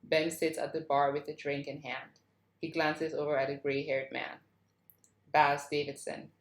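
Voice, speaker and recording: Low-voiced woman narrating a script, echoey. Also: level tone, moderate pace. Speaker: stage-direction narrator. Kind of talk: reading screenplay action lines aloud. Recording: roomy, echoing sound.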